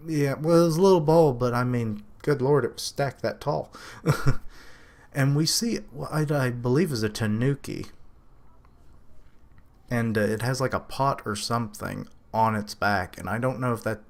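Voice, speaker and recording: A man casually reviewing a comic chapter, rambling and correcting himself, with quick laughs and higher pitch when quoting characters. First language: English. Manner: lifts his pitch to quote characters.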